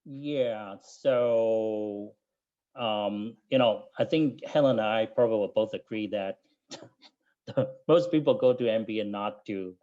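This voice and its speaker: A man in his thirties from Taiwan with a Taiwanese accent, speaking English as a second language in a casual interview and pausing often to find his words. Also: on the low side, dark tone, highs cut off. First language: not English. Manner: thoughtful, with frequent fillers and restarts. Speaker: guest, MBA alumnus.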